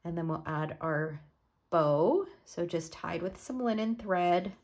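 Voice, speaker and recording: A woman's voice: fairly low pitch, slow pace. Stuffed-up woman demonstrating card making. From Canada. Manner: relaxed, step-by-step explaining while working. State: stuffed up from a cold. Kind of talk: crafting tutorial.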